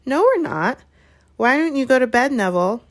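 A woman's voice: high voice, very animated, normal speed, dry recording, clean studio sound, voice pushed up. A woman voicing an anxious, earnest schoolgirl in a storybook, apologetic in manner. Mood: flustered denial.

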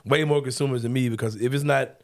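A man's voice: mid-range voice, steady tone, fast. A man, hosting a casual sports talk show in a laid-back, joking, slangy way. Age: 40s. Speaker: podcast host.

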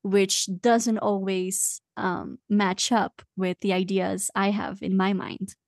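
A woman's voice: mid-range voice, flat delivery, slow pace, gravelly, dry recording, studio quality. A woman in her late twenties from Belgium, chatting casually as an interview guest and laughing often. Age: late 20s.

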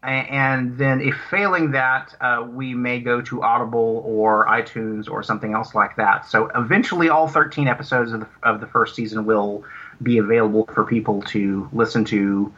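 A man being interviewed as a guest, talking casually in halting phrases on a muffled recording. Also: mid-range voice, steady tone, sharp tone. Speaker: interview guest, audio series creator. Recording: dull, lo-fi remote sound.

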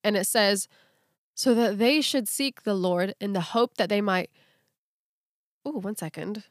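A woman's voice: medium pitch, a little slow, no room sound, studio quality. A woman co-hosting a Bible study podcast, talking about faith in a casual, conversational way. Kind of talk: casual conversational religious discussion.

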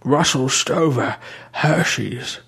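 A man voicing a fussy, sweet-obsessed male bishop who talks in a prissy, gushing way, set back from the mic and higher than his own voice. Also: slow, gravelly, a bit bright, breathy edge, exaggerated voice. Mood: dreamy.

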